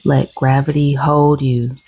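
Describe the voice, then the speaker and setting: A woman leading a guided meditation gently and soothingly, with soft, breathy, husky tones and long unhurried pauses. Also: rumbling low, flat delivery, no echo.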